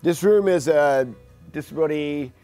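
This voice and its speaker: A man's voice: fairly high pitch, normal speed, mellow, soft background music. A man in his sixties, a wheelchair basketball coach, telling the program's story steadily in a documentary interview. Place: gym office in front of a team banner.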